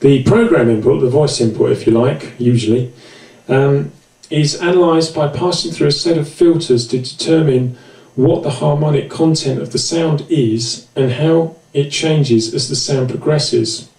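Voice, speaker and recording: A man's voice: a little high, unhurried, darkish tone. A man presenting an informal, explanatory music gear tutorial with hesitant, self-deprecating asides. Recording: roomy, echoey, distant mic.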